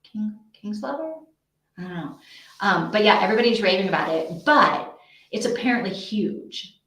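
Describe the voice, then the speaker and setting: A woman hosts a relaxed-format livestream chat in an average-pitched voice. She talks casually and ramblingly, working out her thoughts aloud. The room around her gives the voice a clear echo. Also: normal speed. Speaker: host of a live reading sprint stream. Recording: echoey untreated room.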